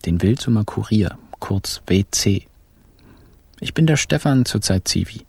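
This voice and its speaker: An actor playing a laid-back nineteen-year-old care-home helper who narrates a crime radio play in an offhand, unsure, wry way. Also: male voice, lowish voice, animated, measured pace, creaky, a little mellow, husky, no room sound, exaggerated voice. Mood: wry, matter-of-fact. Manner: casual, offhand, hesitant. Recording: music behind the voice.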